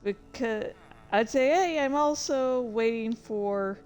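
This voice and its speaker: A woman streamer chatting casually about her own writing in a halting, rambling, self-deprecating way. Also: fairly high pitch, darkish tone, smooth, faint music behind. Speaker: streamer and fan fiction author. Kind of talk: casual livestream chat.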